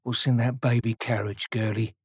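A man's voice: medium pitch, little pitch movement, normal speed, husky, no echo, studio quality, close to an everyday voice. A man doing a snarling nasty policeman. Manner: snarling, contemptuous. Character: nasty, sneering paramilitary policeman.

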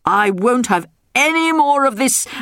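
A loud, indignant old-fashioned storybook king, bald and put-upon, roaring his complaints in a high, lively, strained voice, as a woman narrator puts him on. The sound is close and dry. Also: unhurried, smooth, no room sound, heavily acted. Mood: moaning. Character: blustering bald male king, grumpy and complaining.

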